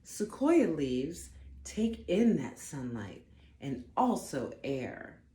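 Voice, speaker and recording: Woman, children's storybook read-aloud. Low, mellow voice, expressive delivery, roomy sound. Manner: slow, expressive, deliberate phrasing for young listeners. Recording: roomy echo, faint background noise.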